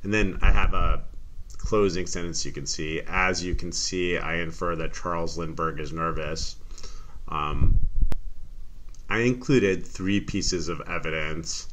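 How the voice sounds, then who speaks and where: A man explaining an assignment as a teacher, in a deliberate, careful manner. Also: low-pitched, a bit bright, a little hiss or hum, rough recording. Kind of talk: instructional screen explanation for students.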